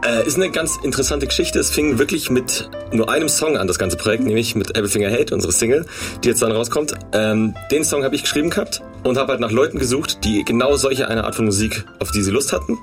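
A man's voice: mid-range voice, expressive, faint music behind. A man, singer and producer of a band, explaining at length as a guest in a radio studio, with many fillers. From Munich area. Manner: quick, rambling explanations with fillers.

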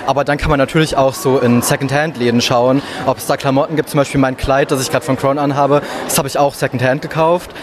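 A man's voice: mid-range voice, steady tone, fast, few pauses. A young man from Berlin giving a casual interview as a cosplayer. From Berlin, Germany. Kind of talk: casual chat. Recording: clear outdoor-like background noise.

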